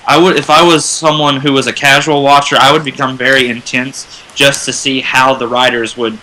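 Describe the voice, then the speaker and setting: A man's voice: mid-range voice, flat delivery, moderate pace, pushed and bright, slight background noise, rough recording. A man chatting casually as a panelist on a fan radio show.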